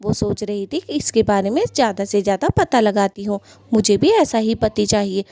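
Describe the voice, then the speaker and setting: A woman's voice: mid-range voice, lively intonation, moderate pace, gravelly, dark tone, dry recording. A woman narrating a children's cartoon story.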